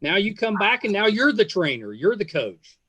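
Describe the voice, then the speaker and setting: A man in his fifties with a slightly high voice hosts a casual interview. He speaks as an enthusiastic fan, stopping and restarting as his sentences trail off. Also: very animated, normal speed, bright, forceful tone, breathy edge, no room sound. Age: 50s. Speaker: podcast host.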